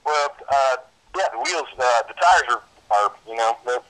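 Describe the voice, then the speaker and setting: A man's voice: medium pitch, animated, normal speed, fairly bright tone, poor audio. A man talking casually on the phone in a slangy way, calling people man and dude. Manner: slangy, laid-back wording with frequent "man" and "dude". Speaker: truck seller on a phone call.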